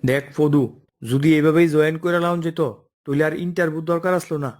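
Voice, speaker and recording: A man's voice: fairly high pitch, steady tone, fast, a little mellow, lightly acted. A man voicing a stern, by-the-book office boss in a comic cartoon, bossy and explanatory, with an eastern Bengali dialect. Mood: stern, instructing. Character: stern, by-the-book male office boss holding job interviews.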